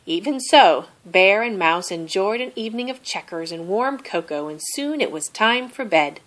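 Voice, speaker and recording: A woman reading a children's story aloud as an expressive narrator. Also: medium pitch, moderate pace. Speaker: storybook reader.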